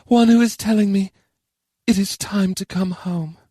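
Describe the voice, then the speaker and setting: Male narrator as female warrior bard, very high pitch, declamatory, wistful, fervent, storybook heroine. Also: a little slow, fairly bright tone, dry recording, clean studio sound. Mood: wistful, solemn. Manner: declamatory, earnest. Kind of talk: audiobook character voice.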